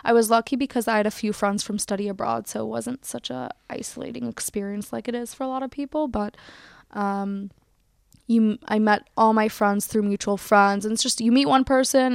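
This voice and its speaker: A woman's voice: medium pitch, vocal fry, clear, resonant tone, no room sound, clean studio sound. A young woman with a chatty manner, full of likes and ums, answering interview questions. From United States. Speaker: social media account creator. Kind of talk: casual interview.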